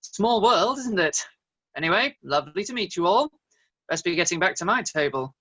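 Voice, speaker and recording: A woman's voice: fairly low pitch, animated, normal speed, fairly bright tone, lo-fi sound. A woman playing a cool, sardonic sleuth who narrates a comedy radio play, polite and wry. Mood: cheeky, polite.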